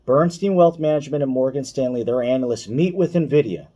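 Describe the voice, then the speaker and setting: A man's voice: mid-range voice, fairly quick, dark tone. A man giving casual, opinionated finance commentary for online viewers, speaking emphatically, repeating key points and addressing the listener directly.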